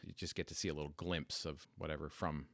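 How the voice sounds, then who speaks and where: Podcast host, male, 40s, American. Very deep voice recorded dry, thinking aloud through a personal story. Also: flat delivery, quick pace, vocal fry, fairly bright tone, dry recording. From United States. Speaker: podcast host telling their life story. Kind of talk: reflective, unscripted personal storytelling.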